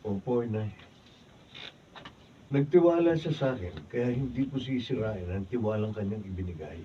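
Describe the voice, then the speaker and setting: An actor playing a polite, respectful young nephew to an aging company owner in a radio drama. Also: male voice, lowish voice, normal speed, warm tone, distant mic, muffled recording, a little hiss or hum, close to an everyday voice. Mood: dutiful. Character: young male nephew, humble and dutiful.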